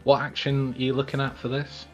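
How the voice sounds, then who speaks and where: A man's voice: medium pitch, completely flat delivery, a little fast. A man chatting casually as the game master of a tabletop role-playing game. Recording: muffled lo-fi audio with music behind. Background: background music.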